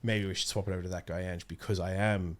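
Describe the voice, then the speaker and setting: A man's voice: low-pitched, fairly even intonation, fast talker. A young man speaking casually as a guest in an interview. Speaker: guest, amateur voice actor and streamer. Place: small home streaming room, indoors.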